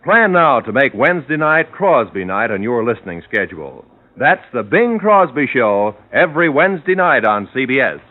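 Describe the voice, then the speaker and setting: A man's voice: medium pitch, big pitch swings, moderate pace, hardly any pauses. An American man announcing in a polished, scripted mid-century radio style. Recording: muffled old radio recording.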